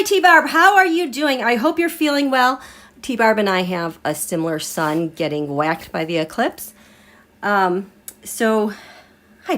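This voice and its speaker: A woman hosting a live tarot and astrology stream, chatting casually with a congested voice as she recovers from illness. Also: mid-range voice. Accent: American. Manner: chatty, rambling, animated asides. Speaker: tarot and astrology livestream host.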